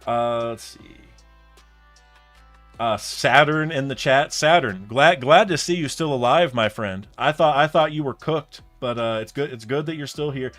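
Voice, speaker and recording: A man's voice: mid-range voice, a little slow, fairly bright tone, soft background music. A young man chatting casually on a live stream, thinking aloud in rambling sentences full of restarts and fillers. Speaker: streamer reacting to a comic chapter.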